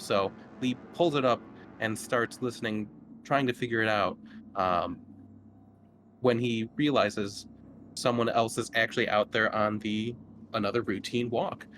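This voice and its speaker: A man's voice: lowish voice, fairly bright tone, a little hiss or hum. Conversational man running a horror game. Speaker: game master. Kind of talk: improvised narration of a tabletop horror game.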